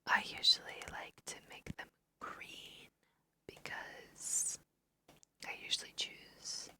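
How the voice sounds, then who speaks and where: A woman's voice: slow, bright, forceful tone. A woman whispering a casual, musing ASMR let's play, talking to her viewers as she goes. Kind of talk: whispered ASMR game commentary.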